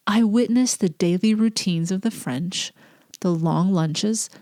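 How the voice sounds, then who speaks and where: A woman's voice: medium pitch, a little mellow, no echo, studio quality. A woman with a nasal voice, stuffed up with a cold, hosting her own podcast in an earnest, reflective way. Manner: earnest, reflective, drops to breathy whispers at times. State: congested with a cold. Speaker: podcast host; teacher. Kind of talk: casual solo podcast talk.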